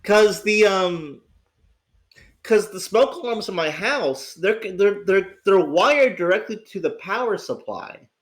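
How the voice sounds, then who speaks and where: A man with a raspy, sick voice, chatting casually as host of his livestream, rambling and swearing with lots of restarts. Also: high voice, lively intonation, takes it slowly. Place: at home.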